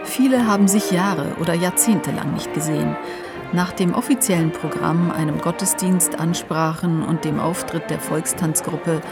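A woman from Transylvania narrating a personal documentary in a reflective, measured way. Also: on the low side. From Transylvania, Romania. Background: music.

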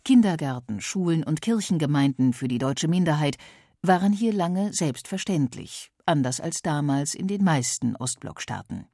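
A narrator reading a scripted documentary voice-over in a measured, even way. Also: medium pitch, creaky, no echo, clean studio sound.

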